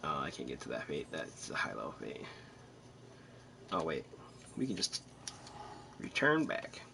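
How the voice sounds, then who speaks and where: A man chatting casually as he streams a game, joking and rambling in an animated way. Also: very deep voice, measured pace, vocal fry, a little hiss or hum. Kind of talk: casual gameplay commentary and chat.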